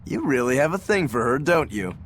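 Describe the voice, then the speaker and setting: A man's voice: mid-range voice, very animated, fairly quick, background noise, close to an everyday voice. A man playing a cocky, nosy, teasing fighter in a video game, with a casual, insinuating manner. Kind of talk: English video game voice acting.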